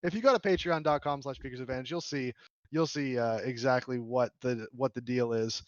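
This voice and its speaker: A slightly hoarse man hosting a podcast in casual chat, chatty and laughing often. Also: medium pitch, fairly quick, no echo, dull audio. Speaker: podcast host.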